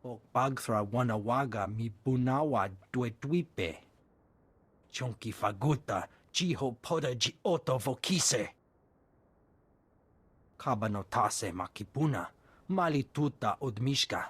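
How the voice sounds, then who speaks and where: A man's voice: medium pitch, animated, a little slow, fairly bright tone, husky. An actor voicing a male alien trader who talks in an invented tongue for a video game. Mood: indignant.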